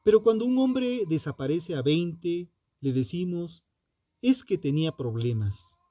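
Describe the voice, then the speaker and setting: Slow, dark-toned male true crime narrator. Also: a little high, animated, no echo. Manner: solemn, deliberate, ominous rhetorical delivery. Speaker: true crime podcast host. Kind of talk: dramatic scripted narration.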